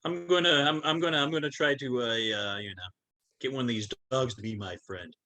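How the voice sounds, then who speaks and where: A man's voice: mid-range voice, a little fast, bright, forceful tone. A man playing in a tabletop roleplaying game, speaking hesitantly in casual talk and stammering through restarts before he gets to his point. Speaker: player.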